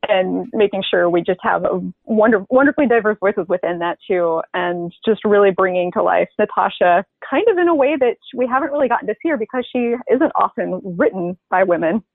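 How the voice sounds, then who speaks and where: A woman's voice: mid-range voice, expressive, fairly quick, creaky, few pauses, no echo. A woman, a head writer, talking enthusiastically and laughing easily in a casual interview. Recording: tinny phone-like line.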